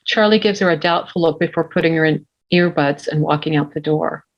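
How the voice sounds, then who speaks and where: A woman's voice: deep voice, brisk, highs cut off. A woman narrating the action of a screenplay at a table read, reading stage directions from a script.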